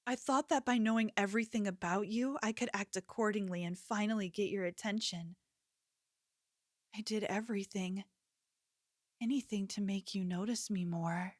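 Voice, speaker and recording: A woman's voice: medium pitch, normal speed, no echo, studio quality, natural voice. A woman playing an obsessive, possessive young yandere in an ASMR roleplay, speaking intimately and coaxingly, like a lover with menace underneath. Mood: confessional.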